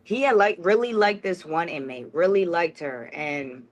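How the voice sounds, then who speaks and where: A woman's voice: lowish voice, moderate pace, dull audio. A young woman telling stories as an interview guest in a candid, rambling, slangy conversational way, saying "like" often. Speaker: interview guest, former prison inmate.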